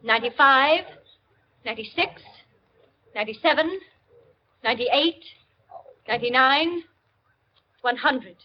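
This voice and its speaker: Posh-mannered hostess in 40s radio play, slow careful delivery, theatrical, muffled hissy old recording. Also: female voice, sits high, animated. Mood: measured, tense. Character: poised society hostess, counting slowly aloud. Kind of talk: 1940s radio acting. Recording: dull, noisy vintage radio recording.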